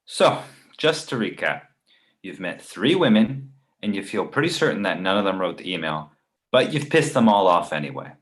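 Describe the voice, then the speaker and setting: A man's voice: low-pitched, big pitch swings, moderate pace. A man playing a nervous, nagging confidant who argues and worries aloud in a screenplay table read. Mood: cautioning. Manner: argumentative, worrying aloud. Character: Victor, nervous nagging male confidant of a writer.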